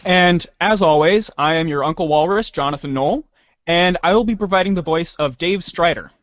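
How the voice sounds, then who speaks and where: A man's voice: a little high, moderate pace, a bit bright, no room sound. A man casually hosting a reader's theater show, on a dull, dry recording.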